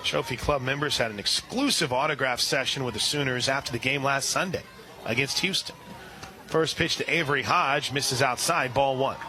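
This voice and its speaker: A man doing live radio play-by-play for a softball game, chatting between pitches and shouting on big plays. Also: moderate pace. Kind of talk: live radio sports commentary.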